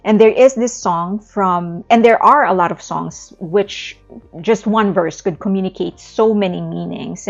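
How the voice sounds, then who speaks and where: A woman's voice: lowish voice, creaky. A woman from the Philippines with a Filipino accent, explaining her thoughts in a reflective video essay, with small restarts. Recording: slightly muffled, faint background music.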